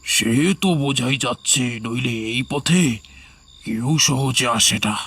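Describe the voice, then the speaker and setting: A man voices a gaunt, skeletal villager from a ghostly horror cartoon. He speaks slowly and ominously in a husky, mid-pitched voice, slyly coaxing the listener. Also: a bit bright. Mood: eerie, knowing. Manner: slow, ominous, drawn-out delivery.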